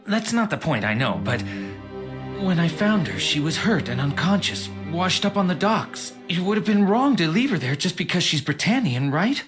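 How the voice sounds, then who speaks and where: Earnest, swooping, flustered young officer. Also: male voice, sits high, big pitch swings, normal speed, music in the background. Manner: hesitant, sincere, flustered. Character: earnest, awkward, easily flustered young male rebel officer. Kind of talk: anime dub audio drama acting, confiding monologue.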